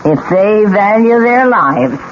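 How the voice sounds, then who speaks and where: A woman's voice: mid-range voice, animated, slow pace. An actress playing a bitter, taunting old widow in a radio drama, needling and ominous in her delivery. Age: older. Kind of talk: 1970s radio drama acting. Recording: dull, noisy old radio broadcast.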